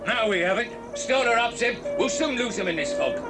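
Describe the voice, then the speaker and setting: An actor playing a brusque, scheming male gang boss in an audio drama, gruff and commanding. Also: very high-pitched, lively intonation, brisk, over loud music. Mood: urgent. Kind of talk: 1980s audio drama acting.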